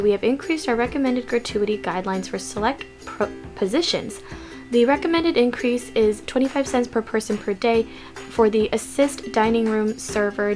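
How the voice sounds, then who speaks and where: Young woman talking kinda quiet from her bedroom, fairly high voice, music playing under her. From California. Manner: hushed and lowered to stay quiet, stumbling over words while reading. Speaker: vlog host. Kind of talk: casual news vlog, reading articles aloud. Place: messy bedroom at home. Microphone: desk mic seen in corner of frame.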